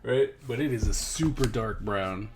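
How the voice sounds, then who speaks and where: A man's voice: on the low side, a little slow. A man giving a casual hands-on product review, thinking aloud informally and repeating himself as he muses. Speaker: host of an art supply review series. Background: background music.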